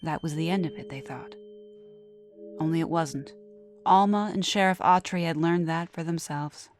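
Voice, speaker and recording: A woman with a lowish voice tells a horror story. Her delivery is scripted and dramatic, sinking into hushed phrase endings, with faint music behind her. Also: normal speed. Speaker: narrator. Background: background music.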